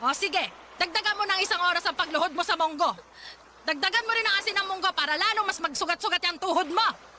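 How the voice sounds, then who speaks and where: A woman's voice: extremely high pitch, fast talker, bright, forceful tone, slight background noise, heavily acted. An actress in a radio drama playing a cruel, pious, stingy old grandmother who scolds and nags. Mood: cruel, menacing. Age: older. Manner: scolding, sanctimonious, nagging. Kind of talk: Tagalog radio drama acting.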